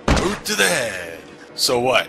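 A man's voice: medium pitch, huge pitch swings, slow, vocal fry, noisy background, full character voice. A man playing an arrogant, foul-mouthed fighting priest, brash and smug, in an anime parody dub. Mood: dismissive. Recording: loud music behind.

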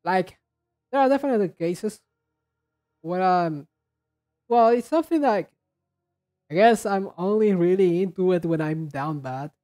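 High-voiced man, halting and rambling. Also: animated, warm tone. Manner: halting, rambling, repeats and restarts words. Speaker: reaction video host. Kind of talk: casual commentary on game trailers.

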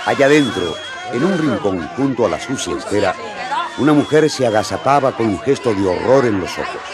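A man's voice: fairly low pitch, big pitch swings, brisk. A man narrating a radio drama as a vivid, dramatic storyteller. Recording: crowd noise behind.

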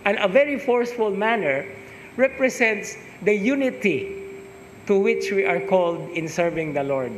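A man's voice: sits high, a bit bright. A middle-aged man with a Filipino Cebuano accent preaching a homily at Mass, reflective, mixing English with Cebuano and often adding "no?" after a point. He talks through a face mask into a stand mic at the altar. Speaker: priest. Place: large church altar. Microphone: stand mic in front, wearing a face mask. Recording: echoey church with long reverb, faint hiss.

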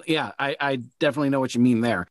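A man's voice: mid-range voice, racing, dry recording, clean studio sound. A man hosting an interview, chatting casually and repeating words as he thinks aloud. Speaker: interview host and producer.

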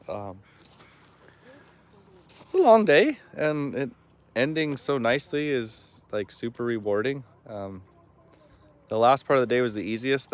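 A man chatting casually and informally to the camera as a vlogging builder, with folksy, unscripted phrasing. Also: medium pitch. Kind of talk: casual vlog chat to camera. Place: outdoors at a dock and on the water. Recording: very noisy outdoor boat and engine noise.

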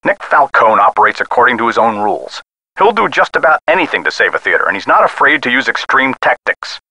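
Game role: skeptical, weary American cop on the phone, mid-pitched, hard-edged, folksy and condescending. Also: male voice, big pitch swings, quick pace, sharp tone, dry recording, full character voice, poor audio. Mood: cynical. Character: dismissive, weary male police sergeant, skeptical and patronizing. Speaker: police missing-persons officer. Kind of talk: video game voice acting.

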